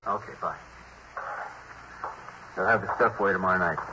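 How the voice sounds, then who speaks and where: A lowish, forceful American male voice as a cagey crook in an old radio crime play. He is slangy, grudging and easily irritated. The broadcast sounds dull, with faint hiss. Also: normal speed. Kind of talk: 1950s radio crime drama acting.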